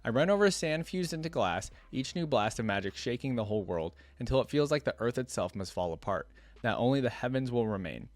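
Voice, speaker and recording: A man's voice: medium pitch, lively intonation, brisk, no echo. A man hosting a reaction video, reading a story aloud and adding casual, musing asides.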